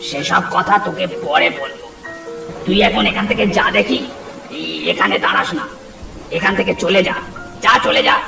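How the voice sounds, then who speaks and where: Guy doing a creepy gaunt uncle for a horror cartoon, slow raspy croaky voice, anxious n evasive, echoey w music behind. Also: sits high, lively intonation, full character voice. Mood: urgent, warning. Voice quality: hoarse, rough, creaky. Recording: echoey, distant voice under loud music.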